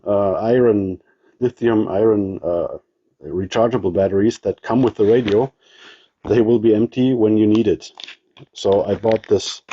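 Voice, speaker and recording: A man with a German accent showing his radio gear in a casual walkthrough, halting with many ums and restarts. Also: on the low side, dark tone, breathy edge, dull audio. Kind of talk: casual show-and-tell walkthrough.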